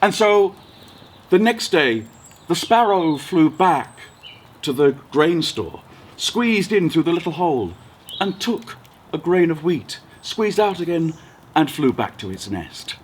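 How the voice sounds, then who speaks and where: Animated male storyteller with swooping pitch. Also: slow pace. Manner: dramatic, expressive, uses repetition. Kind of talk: oral storytelling of a folk tale. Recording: noticeable background noise.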